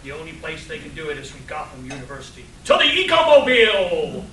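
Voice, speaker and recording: A man's voice: a little high, lively intonation, quick pace, pushed and bright. An earnest, pompous eco superhero played by a man from a comic radio play. He declaims solemnly in a raised voice. The recording is distant, roomy and noisy. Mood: heroic rallying. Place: convention hall stage. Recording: echoey room, distant mic, hissy.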